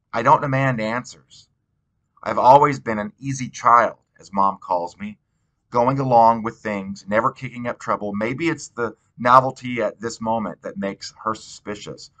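A man reading a novel aloud in an expressive storytelling style. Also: mid-range voice, rough recording. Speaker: audiobook reader.

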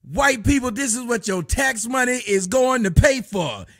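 A man, hosting a live stream from home and mocking the clips he shows in loud, preachy rants. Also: extremely high pitch, lively intonation, moderate pace. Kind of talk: ranting commentary on live streams. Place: home room with a fireplace.

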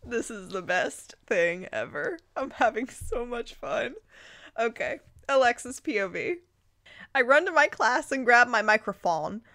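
A woman reading a story aloud informally, breaking in with expressive, amused reactions and exclamations. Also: on the high side, unhurried, dry recording. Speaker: host reading fan fiction aloud with commentary.

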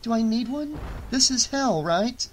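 A man's voice: very high-pitched, lively intonation, unhurried, a little hiss or hum, pitched above the performer's own voice. A man voicing an anxious, bewildered young woman in a horror audiobook, hesitant and urgent. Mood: desperate.

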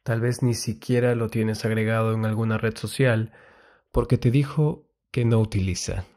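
A man giving a soft, intimate ASMR monologue in a breathy voice that often drops to a whisper, soothing and confiding, close to the mic. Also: fairly low pitch, steady tone, normal speed. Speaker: ASMR host giving love advice. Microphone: very close ASMR microphone.

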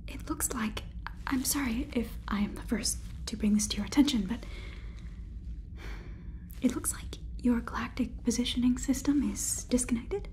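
A woman in her twenties doing a whispered ASMR role-play as a polite, curious AI robot overlord, speaking softly and haltingly close to the microphone. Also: a little high. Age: 20s. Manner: soft, halting, deliberate whisper. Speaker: ASMR performer. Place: small room indoors, close up. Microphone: close sensitive microphone.

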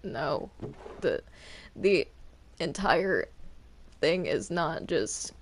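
A person chatting casually while roleplaying in a video game, with a halting delivery that often trails off. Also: medium pitch, big pitch swings, slight background noise. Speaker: Minecraft roleplay player.

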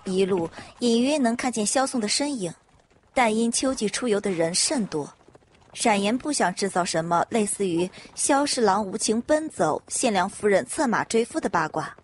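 A woman narrating a web audio novel in an even storytelling manner. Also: mid-range voice, moderate pace, slight background noise.

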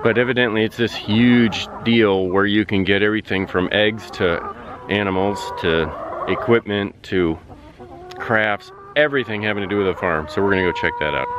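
A man's voice: fairly low pitch, normal speed, background noise. A man in his forties chatting casually to camera as a homestead vlogger, recorded outdoors. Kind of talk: casual vlog chat to camera. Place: outdoors, yard and busy farm meet. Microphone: handheld or nearby camera.

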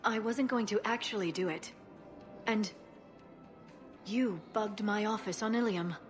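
A young female alien information broker voiced by a woman, medium in pitch, speaking precisely and with reserve. She is earnest and quick to take offence, as in a sci-fi game, with faint music underneath. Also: natural voice. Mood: defensive. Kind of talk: video game voice acting.

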